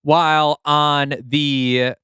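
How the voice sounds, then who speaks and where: Smooth, slightly high podcast man. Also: little pitch movement, very slow, sharp tone, no room sound, studio quality. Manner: chatty and analytical, many "like"s and fillers. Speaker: podcast co-host. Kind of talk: casual podcast discussion.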